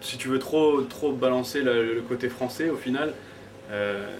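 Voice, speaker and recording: A man's voice: medium pitch, normal speed. A French man in his twenties, a DJ guest chatting casually and joking, with lots of hesitant fillers. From France. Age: 20s. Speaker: DJ, interview guest. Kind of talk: casual interview chat. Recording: distant mic, noisy background.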